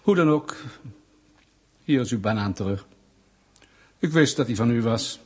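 An actor playing a mock-polite, menacing Soviet interrogator in a radio play, speaking deliberately and insinuatingly with pointed pauses. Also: male voice, medium pitch, lively intonation, slightly rough. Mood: quietly menacing.

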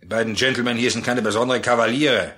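An actor playing a domineering, short-tempered trading boss in a German radio play, barking and scornful. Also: male voice, mid-range voice, steady tone, quick pace, bright, forceful tone, lightly acted. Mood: angry. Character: domineering, irascible male trading boss in the tropics.

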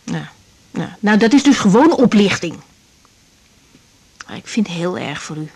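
A woman's voice: mid-range voice, animated, moderate pace, darkish tone, slightly rough. An actress playing a caring but easily indignant young woman doctor in a naturalistic radio drama, speaking with hesitant, broken phrases. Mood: concerned, businesslike. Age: young adult.

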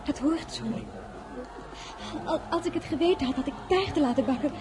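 A woman's voice: high-pitched, moderate pace, lightly acted. An actress playing a generous young noblewoman giving orders to her maid. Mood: fussing. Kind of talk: radio play acting.

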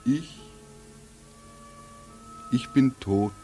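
An actor playing a bitter, despairing young war veteran in a 1940s radio drama, brooding and accusing in manner. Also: male voice, medium pitch, drawn-out words, mellow, faint music behind. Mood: anguished, weary.

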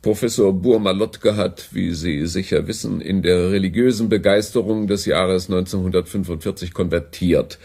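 An actor playing an authoritative broadcasting director in a radio drama, brisk and condescending. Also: male voice, deep voice, gravelly, warm tone, breathy edge, exaggerated voice.

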